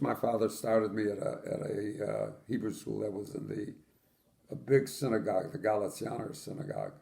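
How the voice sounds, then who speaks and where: Gravelly older man recalling childhood. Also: fairly low pitch, darkish tone. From Poland. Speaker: interviewee. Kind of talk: oral history interview.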